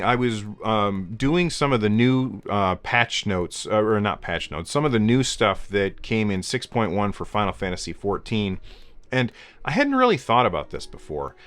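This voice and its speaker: Hoarse, stuffy-nosed man hosting a game show. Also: fairly low pitch, a bit bright. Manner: chatty, with frequent "uh" and "um" fillers; gestures with his hands while talking. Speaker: host of a gaming news show. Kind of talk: casual video commentary. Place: home game room lit with colored lights.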